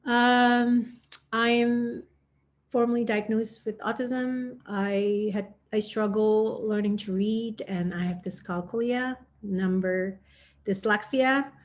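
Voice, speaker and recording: A woman from Indonesia introducing herself earnestly to a round table, in halting phrases. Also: a little high, fairly even intonation. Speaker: panelist, nonprofit advocate. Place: dining room at home, video call.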